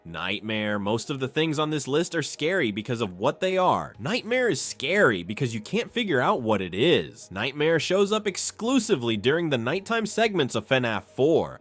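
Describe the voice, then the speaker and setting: A man delivers scripted explainer narration about horror material. His voice sits slightly high and swings widely in pitch as he stresses dramatic points. In between, he drops in dry, wry understatement. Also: fairly bright tone. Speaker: video narrator, explainer host.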